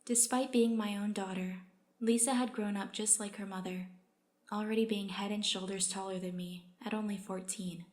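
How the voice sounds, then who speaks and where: A woman's voice: medium pitch, steady tone, normal speed, darkish tone, natural voice. A woman reading aloud a first-person story of a meek, put-upon character. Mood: subdued, resigned.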